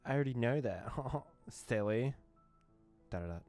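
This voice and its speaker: A man's voice: medium pitch, animated, moderate pace, a little mellow, soft background music. A young man reading a visual novel aloud and translating its lines, with playful jokes and frequent laughter. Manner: playful, joking, laughs often. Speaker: gaming YouTuber.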